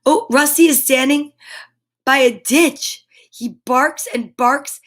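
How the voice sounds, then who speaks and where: A woman's voice: sits high, slow. A woman voicing an eager young farm girl in a children's picture book, reading her lines with wonder.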